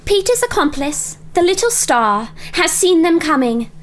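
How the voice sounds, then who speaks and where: A woman's voice: very high-pitched, sing-song, a little slow, a little hiss or hum, full character voice. A woman narrating an audio drama from a script.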